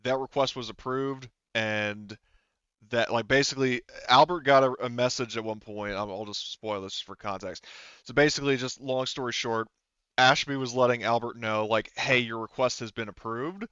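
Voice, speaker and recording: A man's voice: mid-range voice, steady tone, normal speed, fairly bright tone, smooth, dry recording. A man acting as game master talks players through details in a patient, step-by-step way. His voice is clean and resonant. The recording is close and dry, with a slightly dull top end. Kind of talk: explaining a tabletop game to players.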